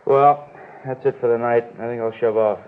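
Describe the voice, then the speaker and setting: A man's voice: mid-range voice, steady tone, normal speed, clear, resonant tone, lightly acted. A man acting a friendly, chatty, casual records clerk in a 1950s radio drama. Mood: easygoing. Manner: easygoing, chatty. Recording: vintage tinny band-limited radio recording.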